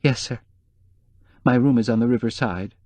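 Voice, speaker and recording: A man's voice: mid-range voice, normal speed, darkish tone, no room sound, close to an everyday voice. A man voicing a nervous, timid middle-aged female housekeeper who whimpers and speaks hesitantly, in a dry close read.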